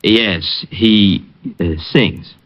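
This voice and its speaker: An actor playing a fussy, flustered, scheming grouchy neighbour who mutters and hesitates, in the style of golden-age radio drama. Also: male voice, on the low side, drawn-out words. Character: fretful, scheming grouchy male neighbour, henpecked-sounding victim. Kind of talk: 1940s-50s radio acting. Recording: muffled old radio recording, faint hiss.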